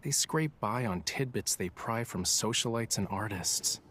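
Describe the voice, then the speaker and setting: A man's voice: fairly low pitch, lively intonation, unhurried, fairly bright tone. An actor voicing a cool, unruffled young male artist in a game, with dry, drawling sarcasm. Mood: cool, teasing. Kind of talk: English game voice acting.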